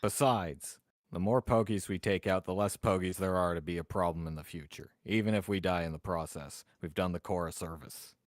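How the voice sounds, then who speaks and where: A man's voice: sits low, fairly quick, no room sound, clean studio sound. A man talking casually as a player in a tabletop roleplaying game.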